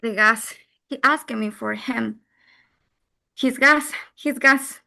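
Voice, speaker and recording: A haunted wife in an audio drama, played by a woman, ashamed and afraid. Her voice is bright and fairly high, and she drags out repeated, moaning phrases. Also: slow, creaky, heavily acted. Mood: dazed, weak.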